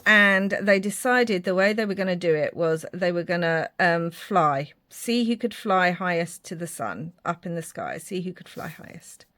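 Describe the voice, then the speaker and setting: A woman's voice: on the low side, moderate pace, few pauses, fairly bright tone. A woman from Ireland, talking casually to her viewers while she works on a craft project, rambling a little and poking fun at her own drawing skills. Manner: chatty, self-deprecating, rambling with fillers. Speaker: crafting vlogger. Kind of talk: casual craft tutorial chat.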